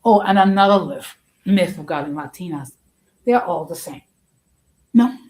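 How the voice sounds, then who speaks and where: A woman host giving an informal opinion talk in a halting, deliberate and emphatic way, often repeating her phrases. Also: on the low side, lively intonation, a little mellow.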